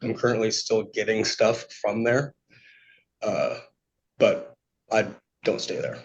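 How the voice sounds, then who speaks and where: A man giving unscripted testimony to a judge, speaking in a halting, polite way. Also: on the low side, fairly even intonation, creaky. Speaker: petitioner testifying in court. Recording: dull, lo-fi courtroom audio.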